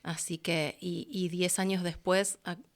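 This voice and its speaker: A woman with a Rioplatense Argentine accent telling stories casually as an interview guest, expressive and colloquial. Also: lowish voice, a little slow. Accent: Rioplatense Argentine Spanish. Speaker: voice actress and announcer. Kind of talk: casual conversational storytelling.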